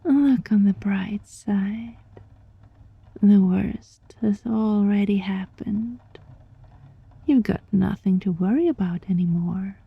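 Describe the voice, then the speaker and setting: Casting: woman as sly kitsune fox spirit for ASMR roleplay; very husky, breathy, slow, softly menacing, close dry mic with a slightly muffled sound. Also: mid-range voice, no echo, full character voice. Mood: teasing, gloating. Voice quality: breathy, often dropping into whisper. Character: sly, teasing female kitsune fox-spirit roommate, controlling yet gentle. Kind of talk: ASMR roleplay, scripted monologue addressed to listener.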